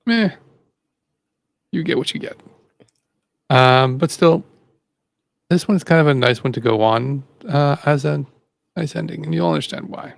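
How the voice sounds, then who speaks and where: A man's voice: on the high side, a little slow, vocal fry, long pauses, dry recording. A man hosting a casual podcast, laid-back and rambling as he introduces stories. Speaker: host.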